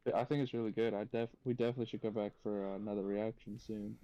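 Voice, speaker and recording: A man co-hosting a reaction podcast, chatting casually and thinking out loud in a tentative, musing way. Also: lowish voice, little pitch movement, quick pace, no room sound, highs cut off.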